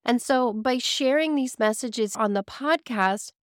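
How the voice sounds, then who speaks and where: Hoarse, gentle woman podcast host. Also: a little high, unhurried, no room sound, clean studio sound. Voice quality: raspy, weak voice. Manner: earnest, gently reassuring, addresses listeners fondly. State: sick, hoarse. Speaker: podcast host and psychic medium. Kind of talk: casual spiritual podcast monologue.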